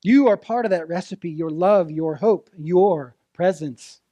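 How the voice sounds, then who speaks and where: High-pitched man preaching a sermon. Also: dark tone, dry recording, highs cut off. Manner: earnest, rhetorical questions, deliberate dramatic phrasing. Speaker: preacher, ministerial intern. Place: church service, speaking to a congregation.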